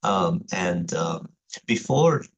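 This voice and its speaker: A man in his thirties from Egypt with an Egyptian Arabic accent, a native Arabic speaker, gives a recorded online class introduction as a university writing instructor, talking carefully with many pauses and fillers. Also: low voice, animated. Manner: hesitant, many fillers, careful explanatory delivery. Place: home, late at night.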